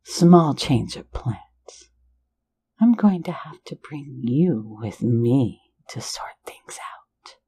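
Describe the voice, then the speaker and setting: A playful phantom thief, voiced by a woman, leans close to murmur in a low, gravelly whisper. The tone is dark and unhurried, teasing and flirtatious, delivered right against the mic like an intimate ASMR roleplay. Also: lively intonation, heavily acted. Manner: soft intimate whisper, mocking and coaxing.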